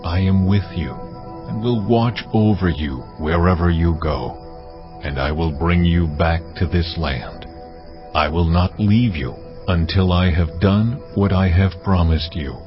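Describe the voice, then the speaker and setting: A man playing God in a dramatized audio Bible, solemn, measured and authoritative. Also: low-pitched. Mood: majestic promise. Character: God, majestic male deity speaking a promise. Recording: dull sound, music clearly behind.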